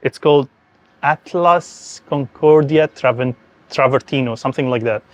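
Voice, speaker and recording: A man's voice: mid-range voice, normal speed. A man from Syria with a Syrian Arabic accent speaks enthusiastically and conversationally, explaining things as host of an informal garden and villa tour.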